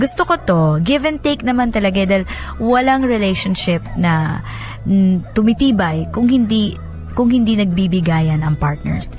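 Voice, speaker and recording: A woman with a Filipino accent hosting radio, telling stories and giving advice expressively, with English phrases mixed in. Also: mid-range voice. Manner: expressive, switches between Tagalog and English phrases. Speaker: radio host reading listeners' letters. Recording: faint music bed.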